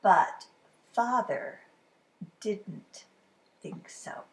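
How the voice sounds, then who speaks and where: A woman reading a children's story aloud as expressive storytime narration, animated and with dramatic pauses. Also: medium pitch, background noise. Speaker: storybook reader.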